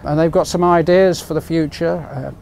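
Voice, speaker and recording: A man's voice: a little high, fairly even intonation, normal speed, creaky, a little mellow. A British man in his sixties presenting to camera as a project advisor, outdoors at a railway station. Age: 60s. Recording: faint outdoor station noise.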